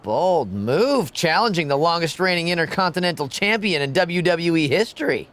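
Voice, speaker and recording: Smarmy game go-between: high voice, swooping, theatrical, mockingly polite. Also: male voice, big pitch swings, normal speed, a bit bright, a little hiss or hum. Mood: smug. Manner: sarcastic, smug, theatrical. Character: smarmy, sarcastic male wrestling management lackey. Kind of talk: scripted video game cutscene acting.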